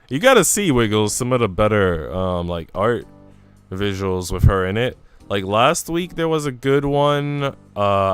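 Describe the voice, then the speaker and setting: A man's voice: fairly low pitch, clean tone. A man streaming, reading a visual novel aloud with casual, chatty asides. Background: music.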